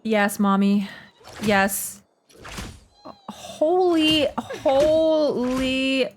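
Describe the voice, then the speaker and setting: A woman's voice: sits high, extremely slow, slight background noise. A woman streamer giving casual, slangy, jokey reactions during a game playthrough, often repeating herself. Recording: faint game music behind. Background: game music.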